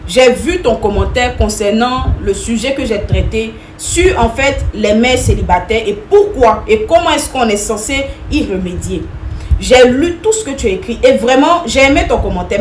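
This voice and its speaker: Woman in her 30s, emphatic advice vlogger, slightly high voice, roomy echo. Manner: emphatic, repetitive, addresses viewers directly. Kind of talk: direct-to-camera opinion talk. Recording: echoey room with faint noise.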